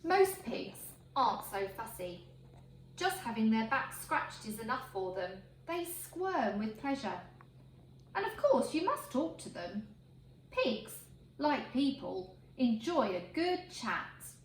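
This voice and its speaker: A woman reading a picture book aloud to children in an expressive, emphatic way. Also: a little high, slow. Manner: expressive, emphatic phrasing for young listeners. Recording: roomy echo, faint background noise.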